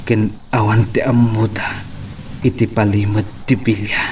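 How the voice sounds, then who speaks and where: A man's voice: on the low side, little pitch movement, a little slow, a little mellow, natural voice. A man playing a weakened, bound captive in a radio drama, desperate but resolute, with a laboured, anguished delivery. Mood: anguished, determined. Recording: distant mic, clear night-insect noise.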